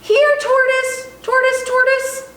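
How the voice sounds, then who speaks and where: A woman voicing an excitable, indignant young girl from a storybook, exclaiming dramatically. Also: very high voice, slow, reverberant, voice pushed up, full character voice. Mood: calling out.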